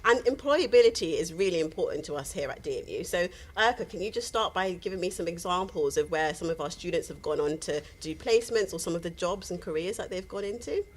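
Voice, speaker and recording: A woman with a slightly low voice hosts a livestream in an upbeat, chatty manner. She keeps things moving with friendly fillers. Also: lively intonation, fast, few pauses, husky. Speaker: livestream host. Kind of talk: hosting a university livestream.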